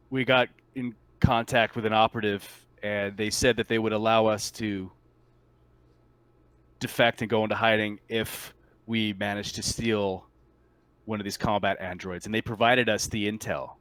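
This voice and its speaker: A man playing a shaken marine soldier in a tabletop roleplay, giving a halting, stammering account of a disastrous mission. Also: on the low side, level tone, fairly bright tone, clean tone, no echo. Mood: incredulous. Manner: halting, stammering, incredulous testimony. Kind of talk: improvised tabletop roleplay monologue.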